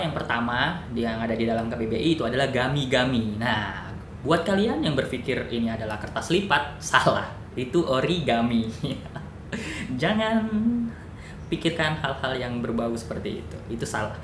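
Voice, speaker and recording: A man talks through a lesson in a loose, chatty way, stopping to correct himself and toss in little jokes. His voice sits at an ordinary pitch. The room around him is echoey, and a steady rumble of noise runs underneath. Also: normal speed. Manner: chatty, thinks aloud with fillers and self-corrections, jokey asides. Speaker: educational YouTuber. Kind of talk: casual educational monologue.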